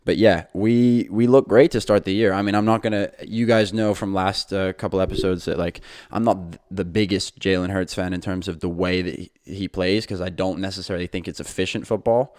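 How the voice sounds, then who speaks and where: A man's voice: low-pitched, a little mellow, no room sound, clean studio sound. A young man hosting his own podcast, talking casually and rambling a little, with frequent fillers and restarts. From England. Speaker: podcast host. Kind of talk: casual solo podcast talk.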